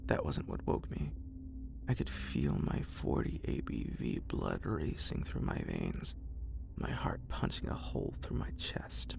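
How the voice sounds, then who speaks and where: A man reading a horror story in a breathy ASMR whisper, in a deliberate, literary storytelling manner. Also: extremely low pitch, noisy background.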